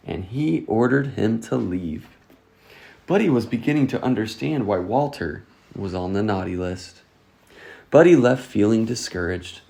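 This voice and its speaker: A storyteller reading a children's picture book aloud in an expressive, scripted way. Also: mid-range voice, gravelly. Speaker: storytime reader.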